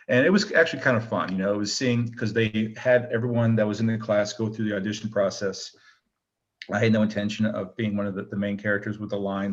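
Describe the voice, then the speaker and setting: A man's voice: on the low side, muffled recording. A middle-aged man, a retired military pilot turned actor, answering interview questions casually and rambling, with many fillers and restarts.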